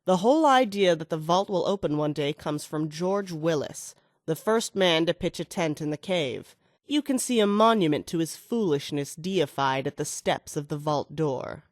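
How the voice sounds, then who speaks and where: Ambitious female game politician, lowish pitch, dry studio sound, oratorical, self-assured, scheming. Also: expressive, moderate pace, no echo. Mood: brisk, disdainful. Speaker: video game voice actor. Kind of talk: video game dialogue.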